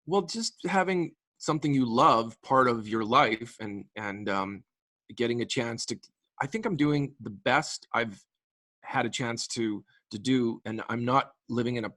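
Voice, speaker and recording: Guest musician, male, late forties, mid-pitched, talks eagerly in loose run-on sentences, dry close sound. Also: a little slow, a bit bright, dry recording. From Canadian prairies. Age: late 40s. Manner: enthusiastic, rambling with frequent restarts. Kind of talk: casual interview chat.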